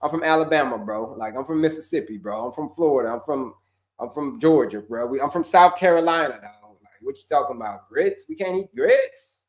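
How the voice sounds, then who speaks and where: A man's voice: mid-range voice, big pitch swings, fairly quick, voice pushed down. A man playing a defiant young Southern man who refuses to give up grits, slangy and exasperated. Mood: indignant. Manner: slangy, exasperated, calls people bro and dog.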